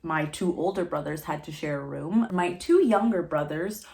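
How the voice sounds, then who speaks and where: A woman's voice: deep voice, expressive, normal speed, vocal fry, darkish tone. A woman, chatting playfully to camera while snacking and laughing often. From Montreal, Canada. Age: 20s. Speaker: vlogger. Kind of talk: casual chat to camera while eating.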